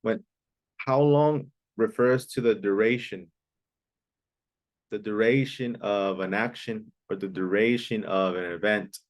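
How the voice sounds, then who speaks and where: A man's voice: on the low side, a little slow. A man teaching an online English class, patiently repeating key phrases and sometimes explaining in Spanish. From Mexico.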